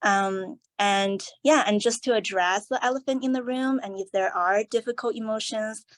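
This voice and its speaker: A woman who is a family doctor, calmly and steadily explaining her work on a webinar panel. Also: mid-range voice, normal speed.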